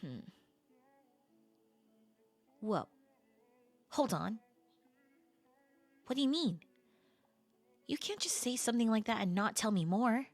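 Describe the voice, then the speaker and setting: Woman acting flirty, expressive best friend, close and dry. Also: fairly high pitch, slow, long pauses, dry recording, faint music behind, heavily acted. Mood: surprised, curious. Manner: casual, expressive, hesitant trailing-off phrases. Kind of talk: intimate audio roleplay acting, conversational.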